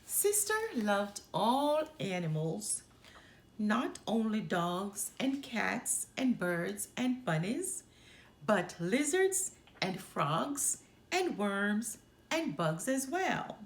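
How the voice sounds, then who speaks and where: A woman reading a children's picture book aloud as a storytime host, with deliberate, expressive delivery. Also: mid-range voice, reverberant.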